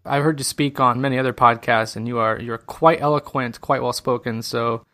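A man's voice: medium pitch, fairly even intonation, moderate pace, a bit bright. A man hosting a podcast, reading an introduction and then chatting in a friendly, easygoing way. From United States. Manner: friendly, easygoing, laughs often. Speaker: podcast host. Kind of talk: scripted intro then casual interview.

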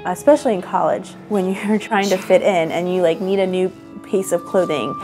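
A woman's voice: fairly low pitch, moderate pace. A young woman in an interview, earnestly telling her story in a chatty way with lots of "like" fillers. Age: young adult. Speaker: fashion designer and boutique owner. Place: indoor room, seated across from interviewer. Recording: soft music underneath.

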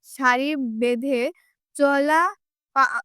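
A woman as a student, haltingly reciting word meanings in short answers. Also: high-pitched, a bit bright, no room sound, studio quality.

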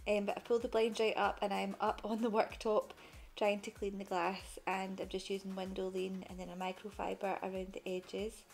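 A woman's voice: mid-range voice, little pitch movement, normal speed, gravelly, a little mellow, faint music behind. A young woman narrating her cleaning vlog over the footage in a chatty, matter-of-fact way. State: pregnant. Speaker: cleaning vlogger.

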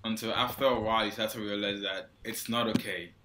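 A man's voice: lowish voice, steady tone, fairly quick, background noise. A young man in his twenties with a Nigerian accent, giving loose, hesitant answers as an interview guest over an earphone mic on a video call. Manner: loose and hesitant, heavy use of "like" and restarts. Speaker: guest photographer. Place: small indoor room. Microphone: wired earphone mic on a video call. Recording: roomy echo.

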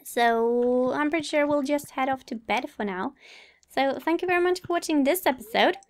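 A woman's voice: high-pitched, normal speed. A woman giving casual, polite gameplay commentary as a let's play host, chatting to herself and the game. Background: game music.

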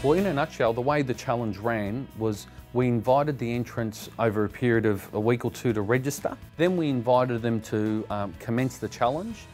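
A man's voice: medium pitch, normal speed, hardly any pauses, darkish tone, faint music behind. A middle-aged man, a personal trainer, explaining matter-of-factly in a sit-down interview. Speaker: fitness coach and personal trainer.

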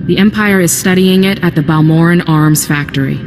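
A woman's voice: on the low side, fairly quick, noisy background, close to an everyday voice. Woman playing a guilty informant, echoing hall. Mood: defensive, remorseful. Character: guilt-ridden, frightened female resistance informant. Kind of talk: video game voice acting. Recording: long reverb, music behind.

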